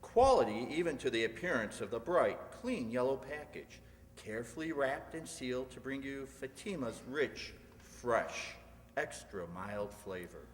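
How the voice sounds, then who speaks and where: A man's voice: medium pitch, sing-song, measured pace. A man announcing in a polished, emphatic 1950s radio advertisement style. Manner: emphatic salesmanship. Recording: reverberant hall, faint noise.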